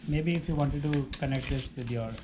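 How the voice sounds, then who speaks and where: A woman's voice: a bass voice, fairly quick. A woman chatting casually as a companion in an unboxing video, on a noisy room recording. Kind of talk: casual chat.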